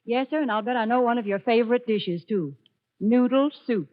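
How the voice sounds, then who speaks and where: A woman's voice: a little high, a little fast, natural voice. An American woman playing a cheery, wholesome radio saleswoman, delivering homely 1940s advertising banter. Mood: cheery, coaxing. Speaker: sponsor announcer. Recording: muffled 1940s radio broadcast.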